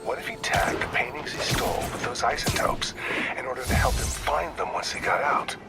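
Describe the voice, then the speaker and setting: An actor playing a plainspoken, weary older American police detective close to retirement in a video game. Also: male voice, mid-range voice, animated, a little fast, gravelly, over loud music. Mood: earnest. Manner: plainspoken, matter-of-fact.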